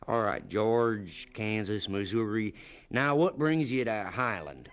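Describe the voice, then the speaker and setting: Gruff farm boss, lively pitch. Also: male voice, slow. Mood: suspicious, probing. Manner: blunt, clipped. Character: gruff, skeptical farm boss questioning a job seeker. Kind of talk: audio drama acting.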